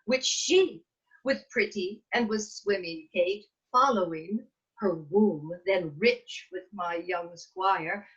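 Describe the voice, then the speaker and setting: A woman playing a proud, defiant fairy queen in a measured, theatrical reading of Shakespearean verse over a video call. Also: medium pitch, a bit bright. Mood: wistful, resolute. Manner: theatrical, measured, emphatic delivery. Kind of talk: Shakespearean verse table read. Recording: dull, lo-fi video call audio.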